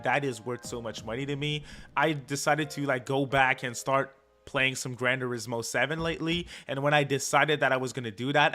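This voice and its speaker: A man hosts a casual livestream over clearly audible music. His voice sits at an average pitch, and he talks in a laid-back, slangy way, swearing lightly as he chats with viewers. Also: sharp tone. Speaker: livestream host. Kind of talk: casual livestream chat, reacting and reading viewer comments.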